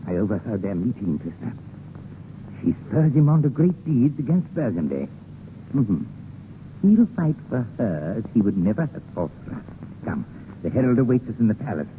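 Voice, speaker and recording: Through a thin, slightly noisy old radio broadcast comes a vengeful, sly male monarch. His voice is on the low side and swings widely, with deliberate, theatrical phrasing from a 1930s radio drama. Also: sing-song, phone-quality sound. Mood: bitter gloating. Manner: halting, deliberate, theatrical delivery. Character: sly, vengeful king of France toying with a poet.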